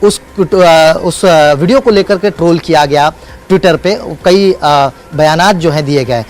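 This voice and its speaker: A man's voice: on the high side, measured pace, darkish tone. A man reporting live as a news correspondent, speaking unscripted in emphatic, repetitive phrases with hesitant fillers.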